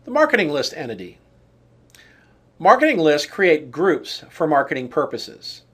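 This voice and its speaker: A man giving scripted instructional narration as a software trainer, in a measured, explanatory way that sets out lists item by item. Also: a little high, huge pitch swings, husky.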